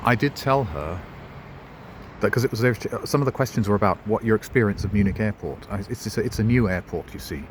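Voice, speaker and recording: Middle-aged bloke, low voice, drifts between digressions and dry jokes, recorded on the street with faint traffic. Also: male voice, expressive, fast talker. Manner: wry, digressive, self-mocking asides. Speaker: travel vlogger. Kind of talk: rambling walking-tour commentary. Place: outdoors in a town centre street.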